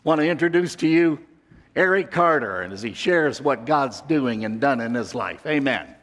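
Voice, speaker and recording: Male scripture reader, formal liturgical delivery, mid pitch, long church-hall reverb. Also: expressive, moderate pace, a bit bright, slight background noise. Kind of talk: scripture reading and introduction. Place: church sanctuary.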